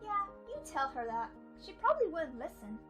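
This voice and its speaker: A woman voicing a high, eager young pony. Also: sing-song, moderate pace, a bit bright, soft background music. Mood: earnest.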